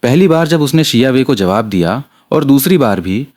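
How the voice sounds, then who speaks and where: A man voicing an excited television staffer who reports urgently. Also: mid-range voice, normal speed, close to an everyday voice. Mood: explaining. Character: excited TV crew staffer, breathlessly reporting ratings.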